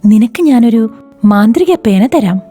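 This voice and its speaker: Gentle mermaid helper, voiced by a female narrator, soft-edged mellow tone, cartoon fairy tale, faint music. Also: fairly high pitch, expressive, unhurried. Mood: reassuring. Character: kind magical mermaid who consoles and helps. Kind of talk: animated children's storytelling.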